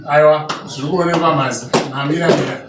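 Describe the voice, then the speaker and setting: A man acting as a courteous Zimbabwean family elder who presides over a staged marriage ceremony. His fairly high voice carries from a distance through loud background noise. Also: fairly even intonation, fast, close to an everyday voice. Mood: courteous, presiding. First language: Shona. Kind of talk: dramatized re-enactment of a traditional ceremony. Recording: echoey, distant, very noisy room recording.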